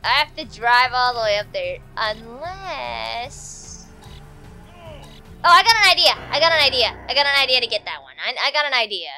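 A high-voiced woman rambles through casual gameplay commentary, giggling and talking to herself. Her tone is bright and a little stuffy, as if congested. Faint game music plays underneath. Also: expressive, normal speed. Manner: rambling, self-chatting, giggly. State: congested from allergies. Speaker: let's play host.

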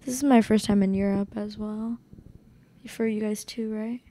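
A woman's voice: medium pitch, fairly even intonation, moderate pace, mellow. A young woman co-hosting a podcast from a hotel room, talking earnestly and reflectively, sometimes searching for words. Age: young adult. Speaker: podcast co-host. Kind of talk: casual chat. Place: hotel room, seated on bed.

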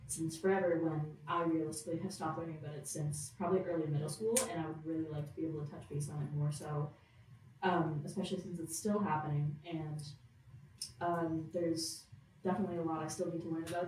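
A woman's voice: sits low, a little mellow. A teenage girl from Nova Scotia with a Canadian accent, talking in a rambling, casual way as a podcast co-host. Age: teens. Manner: rambling, frequent "like". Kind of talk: casual discussion. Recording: very echoey room, distant mic, faint noise.

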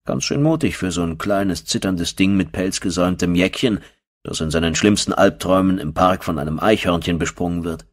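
Deep, husky male audiobook narrator. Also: a little fast. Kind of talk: first-person horror audiobook narration.

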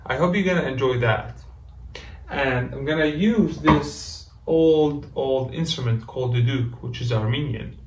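Syrian-accented man in his thirties, earnest and halting, speaking to a distant camera in a small echoey room. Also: medium pitch, a little hiss or hum. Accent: Syrian Arabic. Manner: warm, earnest, halting non-native phrasing. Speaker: musician. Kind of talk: introducing a performance to camera. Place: small room at home, indoors. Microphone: far camera. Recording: roomy sound from mic at distance.

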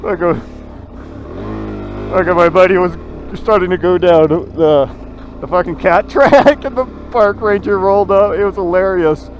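Swearing biker talking over wind noise. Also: male voice, high-pitched, measured pace. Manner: profane, joking, rambling. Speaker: motovlogger. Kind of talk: casual helmet-cam commentary while riding. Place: riding a motorcycle on a mountain road outdoors. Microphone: helmet camera mic. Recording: wind and engine noise behind.

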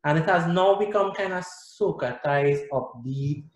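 A man's voice: on the high side, a little slow. A middle-aged man with a Trinidadian accent, talking casually and animatedly as a guest on a video call. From Trinidad and Tobago. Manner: animated, gestures with hands while explaining. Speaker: guest musician. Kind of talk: casual interview talk. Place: small room indoors. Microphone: video call webcam. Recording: echoey room on video call.

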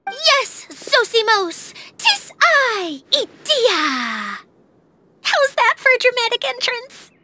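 A woman's voice: very high voice, big pitch swings, slow, pushed and bright, heavily acted. A woman voicing a bubbly young female game character, perky and theatrical. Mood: cheerful. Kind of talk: video game voice acting.

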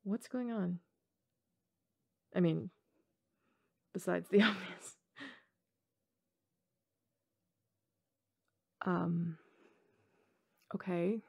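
Casting: a woman to play a college-age tomboy gamer girl for intimate ASMR roleplay; teasing, slangy, casual, with noticeable vocal fry. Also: lowish voice, steady tone, drawn-out words, long pauses, full character voice. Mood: flustered, awkward. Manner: casual, slangy, teasing, frequent chuckles and hesitations.

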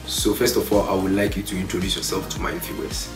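A man's voice: low voice, flat delivery, fast talker. A young man hosting a show, giving a polite, formal scripted introduction from a couch. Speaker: channel host. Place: living room, on a couch. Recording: distant, roomy, faint music.

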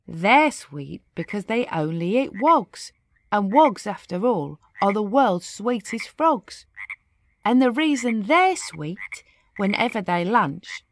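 Animated woman reading a children's storybook. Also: medium pitch. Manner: animated, deliberate, playful storytelling. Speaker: storybook narrator. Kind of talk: expressive children's read-aloud narration of rhyming verse.

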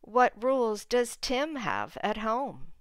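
A woman reading a storybook aloud as a teacher for learners, in deliberate, simple phrases. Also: on the high side, sing-song, no echo.

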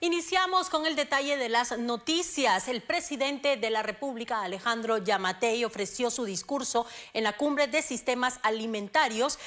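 A woman's voice: on the high side, fairly bright tone. A young woman presenting morning news in a television studio with a polished, cordial delivery. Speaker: news anchor.

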